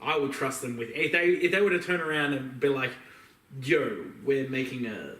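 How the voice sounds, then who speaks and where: A man's voice: medium pitch, moderate pace, a bit bright. A man co-hosting a podcast, chatting casually in a slangy, opinionated and joking way. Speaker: podcast co-host. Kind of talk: casual chat about video games and films. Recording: roomy echo, distant mic.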